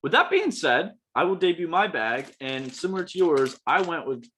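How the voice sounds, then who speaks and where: A man's voice: fairly high pitch, sing-song, moderate pace, sharp tone, a little hiss or hum. Upbeat young guy. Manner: upbeat, emphatic, slangy. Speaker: podcast co-host. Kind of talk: casual chat.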